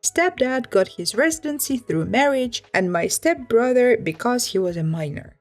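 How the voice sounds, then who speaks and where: A woman reads a written first-person story aloud as a narrator. Her voice sits a little high and moves through lively, animated rises and falls. Music plays along behind the narration. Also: moderate pace. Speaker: narrator reading online stories aloud.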